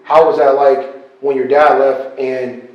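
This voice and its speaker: A man's voice: medium pitch, little pitch movement, a little slow. Easygoing male interview host, echoey room. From northeastern Ohio. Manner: easygoing, short prompting questions. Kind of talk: casual interview. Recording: echoey, dull sound, mic at a distance.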